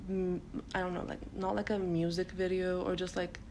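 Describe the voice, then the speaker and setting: A young woman from Turkey, a native Turkish speaker, talks through her ideas on a podcast. Her voice sits a little low, and she circles her thoughts with fillers and false starts. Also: little pitch movement, normal speed, darkish tone, a little hiss or hum. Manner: hesitant, many fillers and self-restarts. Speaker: guest poet. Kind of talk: casual podcast conversation.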